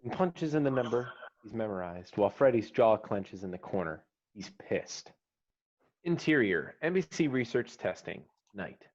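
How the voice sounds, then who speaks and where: A man's voice: lowish voice, big pitch swings, normal speed. A man reading screenplay stage directions as the narrator of a scripted table read. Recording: slightly dull online call audio.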